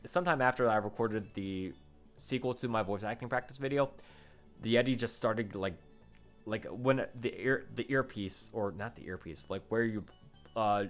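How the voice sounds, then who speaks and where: A man in his late twenties chatting casually into a condenser microphone for his vlog, rambling and correcting himself with lots of fillers. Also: fairly low pitch. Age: 20s. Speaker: YouTuber. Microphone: condenser studio microphone.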